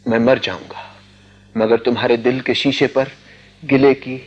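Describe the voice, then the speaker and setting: An actor playing an earnest, poor young student passionately in love, with intense poetic delivery in a Hindi radio drama. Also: male voice, medium pitch, little pitch movement, measured pace, lo-fi sound, close to an everyday voice. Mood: ardent, tender. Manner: poetic, intense, breathy declarations.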